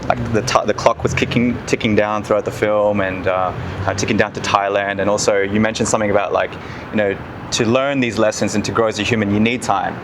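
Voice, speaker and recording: A man's voice: fairly low pitch, fairly even intonation, fast talker. A man asking questions in a casual, conversational way as an interviewer. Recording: noisy urban background hum.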